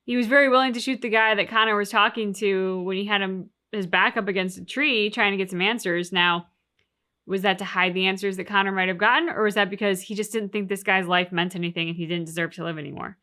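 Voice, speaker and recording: A woman's voice: mid-range voice, steady tone, fast talker, sharp tone. A woman hosting a reaction show, giving casual analysis in an emphatic, opinionated way and reasoning aloud through rhetorical questions.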